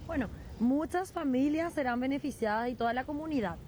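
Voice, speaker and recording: A woman's voice: sits high, a little fast, mellow, slight background noise. A woman reporter asking brief, prompting questions in a field interview.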